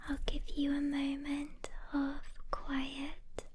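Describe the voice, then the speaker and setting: Very slow whispering woman, ASMR meditation. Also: high-pitched, completely flat delivery, noisy background. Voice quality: soft, breathy whisper. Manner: gentle, soothing, with long pauses between short phrases. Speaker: ASMR guided meditation host. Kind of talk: soft-spoken ASMR guided meditation. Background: soft hand sounds and clicks.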